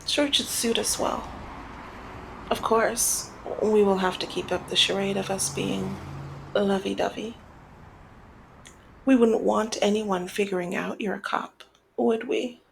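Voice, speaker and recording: A woman's voice: mid-range voice, steady tone, measured pace, gravelly, long pauses, a little hiss or hum, low-quality recording. A woman playing a flirty, commanding female mob boss in an ASMR roleplay, talking in a teasing, coy and controlled way. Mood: teasing, sly. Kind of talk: ASMR roleplay, scripted one-sided dialogue.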